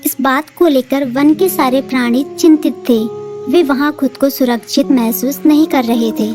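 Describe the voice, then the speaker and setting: A woman narrating a cartoon story in a calm, even storytelling voice. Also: high-pitched, normal speed, soft background music.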